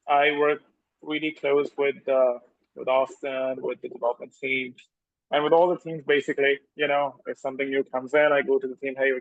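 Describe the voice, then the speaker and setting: A man's voice: medium pitch, creaky, no echo, highs cut off. A man on a company team, introducing himself in a casual, enthusiastic way on a video call, often repeating words. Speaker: team member.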